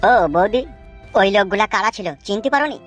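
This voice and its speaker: A woman voicing a coarse, excitable male henchman in a cartoon, brash and cheeky. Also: medium pitch, fast, faint music behind, natural voice. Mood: urgent.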